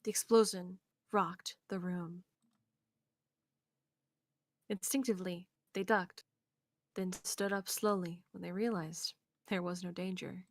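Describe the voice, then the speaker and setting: Creaky-voiced woman narrating a visual novel. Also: lowish voice, steady tone, takes it slowly, long pauses.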